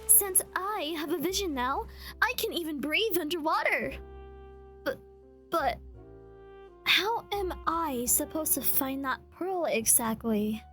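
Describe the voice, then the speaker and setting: A woman's voice: high voice, expressive, slow, sharp tone, faint music behind, full character voice. A woman playing a theatrical, confident young lady with water magic in a fan dub, with grandiose delivery. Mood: confident, playful.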